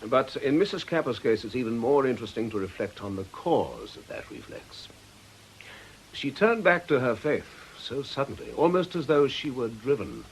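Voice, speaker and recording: A man playing a stern, forceful priest in a radio drama, grave, insistent and accusing. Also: medium pitch, very animated, moderate pace, slight background noise, poor audio. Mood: grave, reflective.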